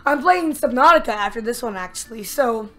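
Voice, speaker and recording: A young woman giving excited gameplay commentary with a strained, worn voice, yelping and laughing at the action. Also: fairly high pitch, brisk. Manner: yelps and shrieks at the action, laughs a lot. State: strained, losing her voice. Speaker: let's play gamer. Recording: faint game music behind.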